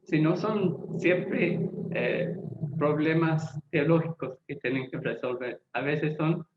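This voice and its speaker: A man who is not a native Spanish speaker, a Bible translator giving a lecture-style talk, deliberate and often pausing to search for words. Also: a little high, noisy background. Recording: echoey, tinny phone-like sound.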